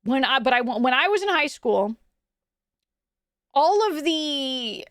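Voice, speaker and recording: A woman's voice: high-pitched, sing-song, slow pace, a bit bright, no room sound, clean studio sound. A young adult woman streaming from home, chatting casually with her chat. She rambles, restarts her sentences often and laughs a lot.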